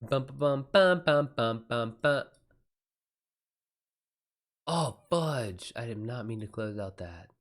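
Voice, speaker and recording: A man's voice: medium pitch, expressive, slow pace, long pauses. A man hosting a livestream in a casual, informal way, chatting with viewers in slangy, sweary language. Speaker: livestream host, author taking writing questions. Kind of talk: casual livestream chat, reading and answering messages.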